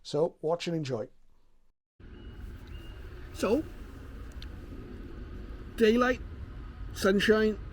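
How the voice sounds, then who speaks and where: A man's voice: sits high, big pitch swings, drawn-out words, pauses often, a little hiss or hum. A man hosting a radio how-to video, talking in a casual, unscripted and explanatory way.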